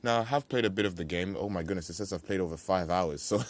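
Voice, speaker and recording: A young man with a low voice hosts a gaming playthrough. He rambles casually, throwing in playful slang and mild swearing. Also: fast talker, dry recording. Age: young adult. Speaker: let's play host. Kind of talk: casual video game commentary.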